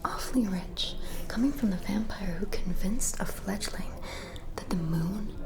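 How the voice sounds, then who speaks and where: Actress as a flirtatious lady vampire, breathy whisper, medium pitch, haughty, teasing, boastful, intimate ASMR roleplay. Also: measured pace. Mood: smug, teasing. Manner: teasing, haughty, darling-dropping. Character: playful, boastful, flirtatious female vampire socialite.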